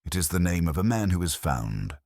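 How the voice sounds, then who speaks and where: A man voicing a commanding, coolly logical detective in an audiobook, formal, deliberate and pompous. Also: sits low, sing-song, darkish tone, dry recording, studio quality. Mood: probing, dry.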